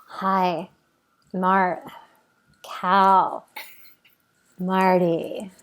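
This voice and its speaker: Woman playing a very slow, dreamy smitten girl. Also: lowish voice, little pitch movement, a bit bright. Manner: drawn-out, dreamy, hesitant phrasing. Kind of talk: comic table read, celebrity impression.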